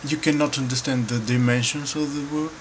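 A middle-aged man from Bulgaria with a Bulgarian accent, answering questions to camera at home in a slow, halting, thoughtful way with many pauses. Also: medium pitch, steady tone. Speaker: painter and filmmaker. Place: dim room indoors at home. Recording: clear room noise behind.

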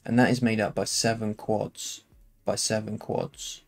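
A man with a slightly croaky voice, explaining game engine settings in a relaxed, unscripted screen-recorded tutorial at his computer. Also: lowish voice, unhurried.

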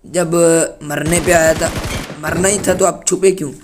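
A hoarse young man with a cold from Pakistan, giving chatty, playfully indignant commentary over his own gameplay as a gaming channel host. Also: a little high, steady tone, normal speed, background noise.

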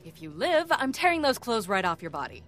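A woman's voice: medium pitch, big pitch swings, a little fast, a bit bright. A woman playing a fiery, headstrong resistance fighter in a video game, insistent and brash. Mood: brash, teasing.

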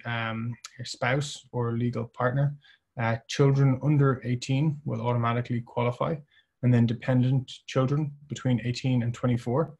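A man's voice: medium pitch, a little mellow. Man presenting over a video call. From Ireland. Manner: measured, with many fillers and restarts. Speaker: company chief presenting at a webinar. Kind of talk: webinar presentation.

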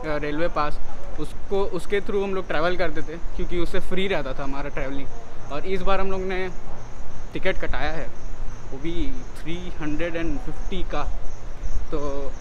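A man's voice: on the high side, steady tone, measured pace. A young man vlogging casually while travelling, speaking into a phone held at arm's length, mixing English phrases into his talk. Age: young adult. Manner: chatty, mixes English into Hindi, laughs easily. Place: train station and train coach, noisy. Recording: loud background noise.

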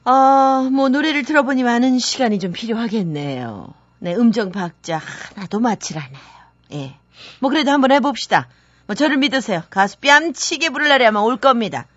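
여자가 연기하는 기복 심한 괴짜 노래 선생. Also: female voice, a little high, lively intonation, fairly bright tone, no room sound, highs cut off. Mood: brisk, instructing. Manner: brisk, insistent, exasperated. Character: bossy, eccentric singing teacher. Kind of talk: dramatized radio listener story.

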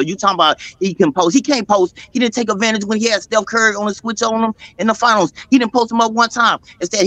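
A man's voice: high-pitched, a bit bright, dry recording. A man debating heatedly on a video call from his phone, insisting on his point and talking over others. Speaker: call-in panelist.

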